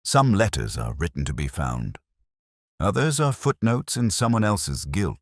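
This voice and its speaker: A world-weary, literary detective played by a man narrates a mystery drama in an extremely deep voice. His delivery is measured and wry, with expressive swings in pitch. The sound is dry, without room echo, and the mood is reflective. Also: full character voice. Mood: melancholy, musing. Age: middle-aged. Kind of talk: scripted first-person mystery narration.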